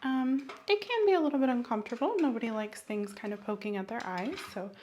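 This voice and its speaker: A woman doing an ASMR medical exam roleplay as a doctor, speaking softly and sometimes breathily, gently instructing and reassuring. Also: a little high, quick pace, dull audio, slight background noise. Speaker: ASMR creator playing a doctor.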